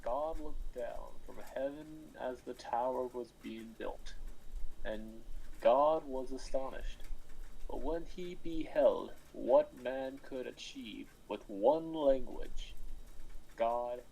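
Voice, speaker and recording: A man playing a mysterious, philosophical entrepreneur in a screenplay read, grandiose and deliberate. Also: mid-range voice, gravelly, mellow, slight background noise, rough recording. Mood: grandiose, grave. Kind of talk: script table read for a screenplay.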